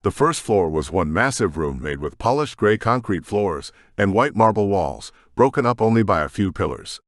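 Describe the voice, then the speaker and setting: A man's voice: on the low side, big pitch swings, normal speed, no echo, studio quality. A man reading scripted fiction as an audiobook narrator. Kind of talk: scripted sci-fi story narration.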